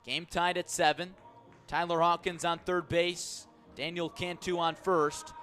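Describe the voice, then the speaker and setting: Higher-pitched male baseball play-by-play announcer. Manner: measured narration rising to excited shouts on key plays. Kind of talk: live sports commentary.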